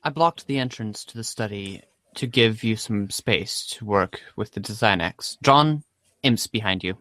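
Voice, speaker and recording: A man's voice: lowish voice, expressive, unhurried, bright, forceful tone, no room sound. A man playing a sensible, bossy young friend who scolds in a dry, matter-of-fact way. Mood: businesslike.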